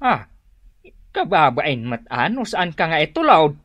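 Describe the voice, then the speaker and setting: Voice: medium pitch, big pitch swings, a little slow, dry recording, lo-fi sound. An actor playing an indignant older male tricycle driver in an Ilocano radio drama comedy, protesting emphatically. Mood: puzzled, indignant.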